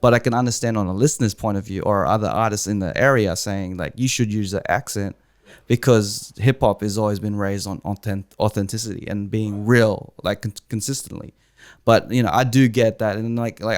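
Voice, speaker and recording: A man's voice: fairly low pitch, clear, resonant tone, no room sound. A young man with an American-sounding accent, talking earnestly as a hip hop artist guest on a podcast, leaning on fillers. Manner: earnest, quick, leans on fillers. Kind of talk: casual podcast chat.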